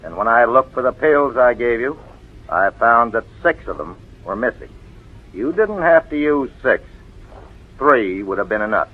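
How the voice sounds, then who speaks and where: Male, solemn family physician for 1940s radio mystery; measured, knowing, medium pitch, boxy vintage recording. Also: animated, slightly rough, phone-quality sound. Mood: firm, accusing. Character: grave, knowing family doctor, calmly accusing. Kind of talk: 1940s radio drama acting.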